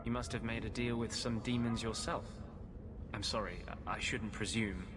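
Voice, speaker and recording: An actor playing a brooding, tormented rebel mage in a video game, speaking earnestly and hesitantly, as if confessing. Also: male voice, on the low side, fairly even intonation, normal speed, background noise, natural voice. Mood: earnest, tender. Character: brooding, tormented rebel mage sharing his body with a spirit. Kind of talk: video game cutscene acting. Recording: music behind the voice.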